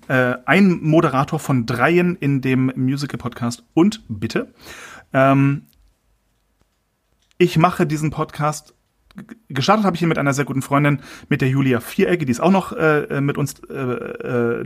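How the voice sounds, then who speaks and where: A man from Berlin, a musical performer, is the guest on a podcast and speaks over a remote connection. He answers casually and hesitantly, filling gaps with sounds and poking fun at himself. His voice has an ordinary middle pitch. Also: moderate pace. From Berlin, Germany. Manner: hesitant, many filler sounds, self-mocking. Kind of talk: casual interview chat. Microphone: remote call audio.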